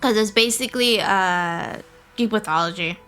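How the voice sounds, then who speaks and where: A woman's voice: medium pitch, moderate pace, sharp tone, faint music behind. A woman live-streaming a game, chatting casually in a playful, giggly way on a close mic.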